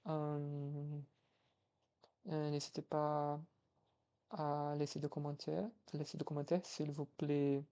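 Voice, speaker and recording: Slow, halting young Brazilian man. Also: on the high side, monotone, dark tone, clean tone. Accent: Brazilian Portuguese. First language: Portuguese. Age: young adult, 21. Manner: hesitant, halting, many fillers and restarts. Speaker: language-learning vlogger. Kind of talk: casual vlog, practicing foreign languages.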